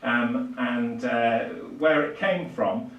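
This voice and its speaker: An older man giving a public lecture in a halting, hesitant way, with frequent pauses. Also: mid-range voice, highs cut off. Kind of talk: public lecture, lightly improvised. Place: small indoor lecture room. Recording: echoey room, distant microphone.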